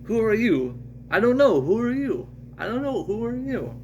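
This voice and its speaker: A bewildered male crash survivor with no memory , acted out by a man reading a story, bickers and exclaims in a voice pushed up high. The home recording is noisy and hums. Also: big pitch swings, normal speed, darkish tone, lo-fi sound. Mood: agitated, bewildered.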